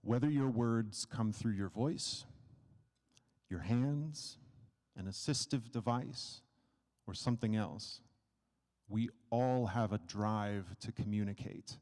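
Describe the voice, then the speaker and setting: Man, lowish voice, slow deliberate phrasing, formal stage introduction, echoing hall. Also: a little mellow. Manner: measured, deliberate oratory with pauses. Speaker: senior project advisor introducing a student. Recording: echoing hall with long reverb.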